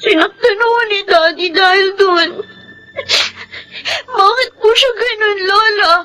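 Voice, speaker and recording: A very high, bright girlish voice plays a burdened teenage daughter in a radio drama. She whines and pleads respectfully, sounding close to tears. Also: measured pace, soft background music, exaggerated voice, poor audio. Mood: sobbing, hurt. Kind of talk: Filipino radio drama acting.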